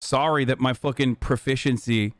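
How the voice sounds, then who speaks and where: A man's voice: mid-range voice, fairly even intonation, no echo, studio quality. A man whose first language is Turkish, ranting heatedly and profanely while reacting on a live stream. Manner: profane, heated, rapid rants. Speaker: Twitch streamer.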